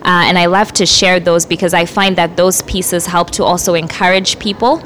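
Young woman from Zimbabwe, spoken word artist, earnest radio chat, slightly low voice, faint hum in the background. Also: fairly even intonation, fairly bright tone. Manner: earnest, measured, with frequent fillers. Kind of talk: community radio self-introduction.

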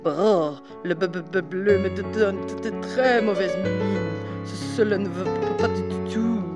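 A woman's voice: high voice, very animated, moderate pace, voice pushed up. A woman voicing a fussy, dramatic male wolf from a storybook, in exclamatory Acadian-Québécois French. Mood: shivering. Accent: Acadian French mixed with Québécois. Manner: exclamatory, theatrical. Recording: music behind the voice.

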